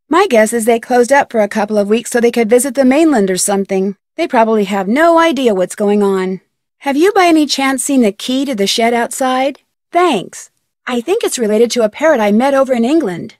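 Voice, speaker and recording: A woman's voice: a little high, dry recording, clean studio sound, lightly acted. An actress playing an inquisitive, polite young woman detective in an adventure game, speaking earnestly. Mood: chatty, helpful. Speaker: video game lead character. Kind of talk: scripted adventure game dialogue.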